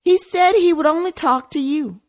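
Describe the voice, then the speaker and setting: A woman voicing a timid, frightened housemaid with a croaking, quivering, stammering voice. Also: very high-pitched, normal speed, a little mellow, voice pushed up. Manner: stammering, tearful.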